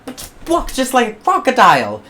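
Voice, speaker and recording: A woman with a low voice voicing George, a fussy, prying English colonist scientist, in a kids' story. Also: very animated, normal speed, slight background noise. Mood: eager.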